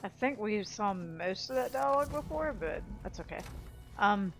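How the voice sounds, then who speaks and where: A woman's voice: medium pitch, expressive, moderate pace, faint music behind. A woman playing a video game and commenting casually as she goes, in an easygoing, chatty way. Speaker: gamer playing on a video channel. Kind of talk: casual let's-play commentary.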